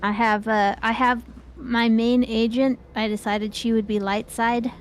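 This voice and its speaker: A woman's voice: on the high side, level tone, unhurried. A woman co-hosting a gaming show, chatting casually in a playful, rambling way while she plays. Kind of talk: casual chat while playing an online game.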